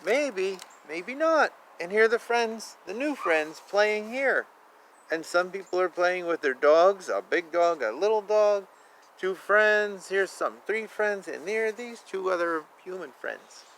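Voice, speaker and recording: Man, high-pitched bright voice, children's storybook reading, slow sing-song delivery, outdoor noise. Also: expressive, low-quality recording. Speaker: children's book reader. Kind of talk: reading picture books aloud with asides. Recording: outdoor recording with clear ambient noise. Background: outdoor ambience.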